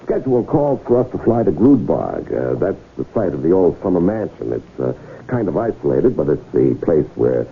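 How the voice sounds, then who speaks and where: An actor playing a smooth, pushy con man with persuasive salesman patter in a 1970s radio drama. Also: low voice, big pitch swings, exaggerated voice. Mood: ingratiating. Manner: fast-talking persuasive salesman patter, emphatic. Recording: dull, faintly noisy old radio recording.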